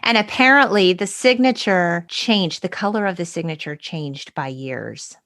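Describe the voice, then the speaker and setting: A woman's voice: lowish voice, animated, a little slow, fairly bright tone. A middle-aged woman co-hosting a podcast, chatting casually and recounting researched facts like a storyteller. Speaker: podcast co-host. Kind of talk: casual chat.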